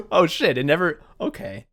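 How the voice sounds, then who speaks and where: A man's voice: sits high, big pitch swings, measured pace, fairly bright tone. A man chatting casually as a podcast host, rambling and swearing as he retells things and quotes himself. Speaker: podcast host and audio drama writer. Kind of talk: casual behind-the-scenes podcast chat.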